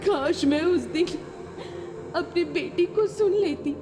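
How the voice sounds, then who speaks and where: A woman's voice: very high-pitched, takes it slowly, pitched above the performer's own voice. Woman playing an anguished mother in reverb. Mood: grieving fearful. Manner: anguished, dramatic. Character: anguished adult mother, fearful yet fierce. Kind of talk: horror cartoon story dialogue. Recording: long reverb, faint music.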